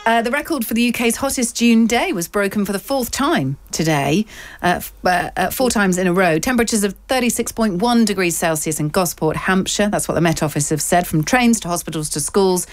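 A woman's voice: medium pitch, brisk, dry recording. A woman hosting a news talk show, chatty and questioning with many fillers. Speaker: radio host. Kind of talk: casual news chat.

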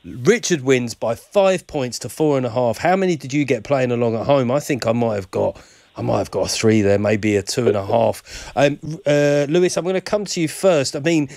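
A man's voice: mid-range voice, expressive, moderate pace, a little mellow, no room sound. A man hosting a radio quiz show, chatty and rambling, often breaking off mid-sentence. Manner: chatty, rambling, self-interrupting. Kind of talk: live radio presenting. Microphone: studio mic.

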